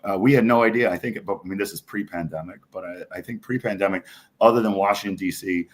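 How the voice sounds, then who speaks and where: A man's voice: deep voice, quick pace. A man from New York City chatting casually as an interview guest, enthusiastic and rambling as he tells anecdotes. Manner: enthusiastic, rambling, frequent "you know". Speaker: bar owner, podcast guest.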